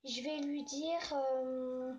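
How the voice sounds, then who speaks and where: A woman's voice: sits high, flat delivery, extremely slow, a little mellow. A young girl chatting casually in slangy, improvised speech, thinking aloud as she makes prank calls. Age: child or young teen. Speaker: host making prank calls. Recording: dull lo-fi home recording.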